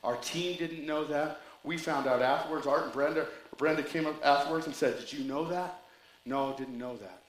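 A man's voice: on the high side, steady tone, moderate pace, reverberant, rough recording. A man preaching a sermon with rambling asides and jokes, reading scripture and telling a story. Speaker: pastor.

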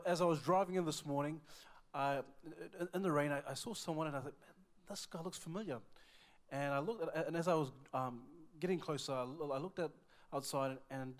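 A man with a New Zealand accent, a visiting evangelist speaking and praying at a church service, lively and repeating his words often. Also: on the high side. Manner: lively, repeats words, many praise interjections. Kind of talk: church talk and prayer.

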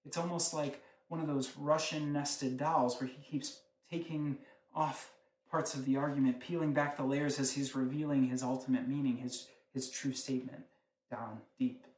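A man's voice: mid-range voice, steady tone, moderate pace, a little mellow. Man preaching in an echoey room. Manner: conversational teaching, frequent rhetorical questions. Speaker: pastor. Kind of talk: sermon.

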